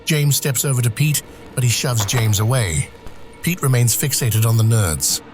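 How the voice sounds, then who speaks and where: A man's voice: mid-range voice, lively intonation, moderate pace, over music. A man reading scripted screenplay narration for an audio drama.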